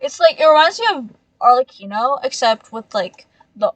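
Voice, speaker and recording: A woman's voice: sits high, expressive, normal speed, dry recording, low-quality recording. A woman hosting a gaming video with casual, slangy commentary and some swearing.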